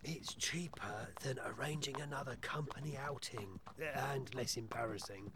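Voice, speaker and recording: A man's voice: on the high side, sing-song, a little slow, vocal fry, background noise, exaggerated voice. A man playing an exasperated, self-important Victorian police inspector who stammers and gets indignant in an audio comedy. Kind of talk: scripted audio comedy acting.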